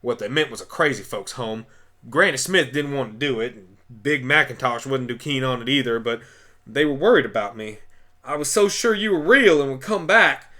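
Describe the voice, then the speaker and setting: Farm pony, female: stubborn, folksy, bright and forceful played by a man, for amateur radio drama. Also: medium pitch, lively intonation, normal speed. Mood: earnest, wistful. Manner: folksy, forthright. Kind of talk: amateur radio play acting.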